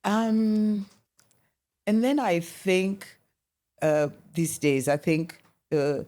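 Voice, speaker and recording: A woman's voice: fairly low pitch, drawn-out words. Kenyan woman guest on a talk show, middle aged, chatty, lots of restarts. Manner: animated storytelling, many restarts. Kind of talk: conversational interview.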